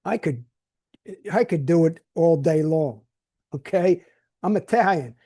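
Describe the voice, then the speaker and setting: A man's voice: on the high side, expressive, a little slow, dark tone, breathy edge. Blunt streetwise older man. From New Jersey. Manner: blunt, streetwise, repeats and restarts words. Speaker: podcast host. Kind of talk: casual monologue.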